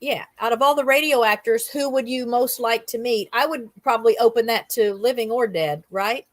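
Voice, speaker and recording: Higher-voiced woman hosting a casual chat. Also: moderate pace. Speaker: interview host. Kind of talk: casual livestream interview, greeting chat.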